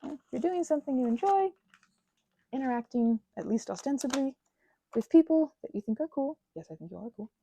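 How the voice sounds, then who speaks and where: A woman giving an unscripted ASMR-style craft ramble as host, halting and self-correcting in an earnest way, close to the mic. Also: high-pitched, lively intonation, mellow, breathy edge, no echo.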